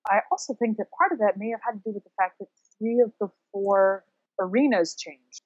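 A woman's voice: medium pitch, a little fast, breathy edge, no room sound. A woman co-hosting a podcast in an informal, conversational way with wry asides, on slightly muffled audio. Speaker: podcast co-host. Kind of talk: casual podcast chat.

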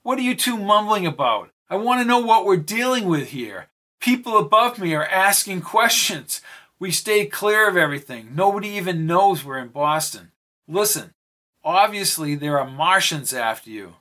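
A man's voice: fairly high pitch, lively intonation, normal speed, a bit bright. A man voicing an irritated middle-aged male government official who blusters and complains. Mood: exasperated. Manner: blustering and exasperated.

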